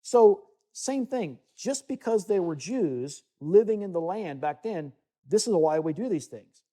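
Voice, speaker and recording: A man teaches to a gathered group in a room, his voice fairly high for a man yet soft and mellow in tone. He reads passages aloud and talks to the room in an easygoing, conversational way, with asides and restarts along the way. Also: lively intonation, unhurried. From United States. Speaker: Bible teacher. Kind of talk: teaching sermon with scripture reading. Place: meeting room with listeners.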